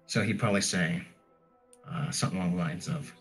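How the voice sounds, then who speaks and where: A man playing a tabletop role-playing game, improvising in a halting, unsure way and thinking aloud. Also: low-pitched, soft background music. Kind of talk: hesitant improvised roleplay talk.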